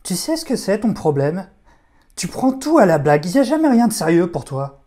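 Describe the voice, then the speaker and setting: A man's voice: high voice, sing-song, a little fast, warm tone. A man plays an exasperated brother in an audio fiction. He is serious and protective of his equipment. His delivery is stern and reproachful.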